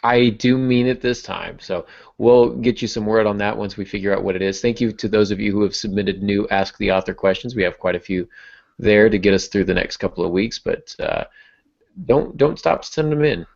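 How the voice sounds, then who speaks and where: Gravelly, low-voiced man hosting a podcast. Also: brisk, muffled recording. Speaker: podcast host. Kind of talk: casual podcast chat.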